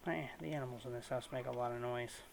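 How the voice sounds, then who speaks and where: A man hosts a gaming video with casual, off-the-cuff commentary. His voice sits at an average pitch and his phrasing is a bit halting. There is faint noise in the home recording. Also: fairly even intonation, gravelly. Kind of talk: casual let's-play commentary.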